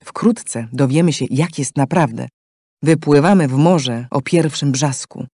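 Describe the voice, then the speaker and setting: A man's voice: fairly high pitch, unhurried, dark tone. A man giving scripted, dramatic narration for a game story in a solemn, declamatory manner.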